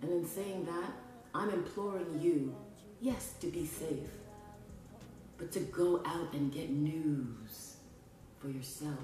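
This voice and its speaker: A woman's voice: low-pitched, takes it slowly, long pauses, warm tone. A woman from Canada hosting a podcast, speaking in an earnest, emphatic, preacher-like way as she delivers a motivational spiritual monologue. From Canada, with family from Jamaica. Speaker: podcast host. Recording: roomy echo, distant mic, music behind. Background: music.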